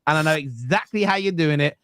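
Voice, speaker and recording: A young man with a Cockney accent hosting a football fan podcast, teasing and animated, often talking over the others. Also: a little high, fast, clean tone, no echo, clean studio sound. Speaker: podcast host. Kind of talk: heated football fan debate.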